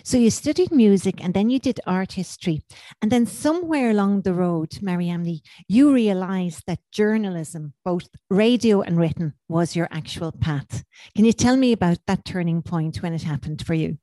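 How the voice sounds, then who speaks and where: A woman's voice: lowish voice, lively intonation, moderate pace, mellow, no echo. A woman hosting an interview podcast, asking encouraging questions and often using the guest's name. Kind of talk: hosted interview.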